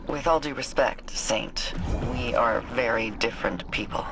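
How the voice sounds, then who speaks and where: A stubborn, battle-hardened soldier from a video game. The mid-pitched voice is blunt and guarded, set against clearly noisy ambience. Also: male voice, a little slow. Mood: stubborn, curt.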